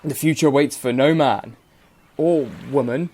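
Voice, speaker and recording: A man's voice: mid-range voice, measured pace, darkish tone, close to an everyday voice. A man playing an irreverent, jokey narrator of a dystopian radio play, talking colloquially and wryly to listeners. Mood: wry, chatty. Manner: colloquial, wry. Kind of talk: chatty storytelling addressed to listeners.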